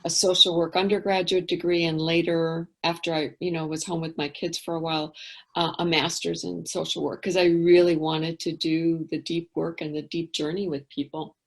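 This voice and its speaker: A middle-aged woman as an interview guest, speaking thoughtfully and candidly, often trailing off into quiet asides. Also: deep voice, level tone, normal speed. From England. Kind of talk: reflective interview talk.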